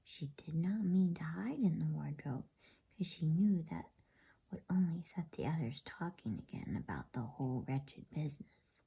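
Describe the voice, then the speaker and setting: A woman reading a children's story aloud in a soft, hushed ASMR whisper, slow and soothing. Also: low-pitched, animated, gravelly, slightly rough.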